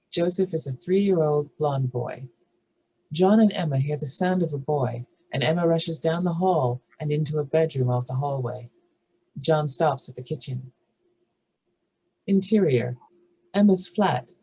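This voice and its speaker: Husky narrator reading stage directions. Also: mid-range voice, darkish tone, low-quality recording. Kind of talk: scripted narration.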